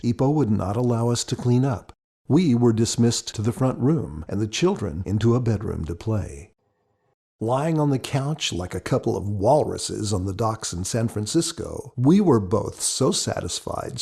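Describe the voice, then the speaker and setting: Gravelly, mellow male audiobook narrator. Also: mid-range voice. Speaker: author narrating his audiobook. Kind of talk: scripted first-person narration.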